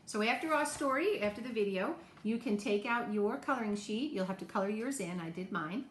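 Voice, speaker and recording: A woman's voice: medium pitch, expressive, brisk. Woman teacher addressing children, echoey room. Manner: encouraging, simple questions and explanations for children. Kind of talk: talking to young children in a homemade lesson video. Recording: echoey room, faint background noise.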